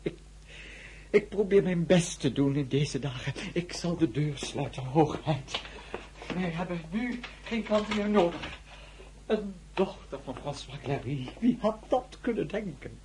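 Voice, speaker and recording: An actor in a radio drama playing a middle-aged, deferential shop clerk, chatty and hesitant, with flustered stammers. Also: male voice, fairly high pitch, expressive, unhurried, a little mellow, a little hiss or hum. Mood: flustered, apologetic.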